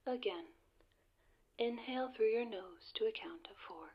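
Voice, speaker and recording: A woman playing a serene wellness announcer guiding relaxation, in a calm, measured, breathy half-whisper. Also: fairly high pitch, highs cut off. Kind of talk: ASMR guided meditation narration, announcer-like.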